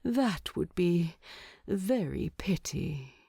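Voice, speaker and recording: Male hobbit, voiced by a woman, storybook character, drowsy, soft, slow, gently teasing. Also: on the low side, sing-song, vocal fry, breathy edge, no echo, studio quality, heavily acted. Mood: slurred, sleepy.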